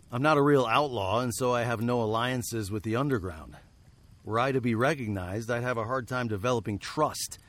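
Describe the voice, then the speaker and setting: A man playing a weary, wry nineteenth-century fugitive, telling his tale in a deliberate, literary way for a radio drama. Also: mid-range voice, a bit bright, close to an everyday voice. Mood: wry, resigned. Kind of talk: radio drama narration and acting.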